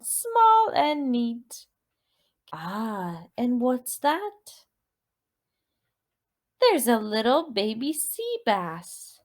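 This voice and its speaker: A woman teacher reading a children's movement story, expressive and deliberate, prompting listeners with questions and repeated words. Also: on the high side.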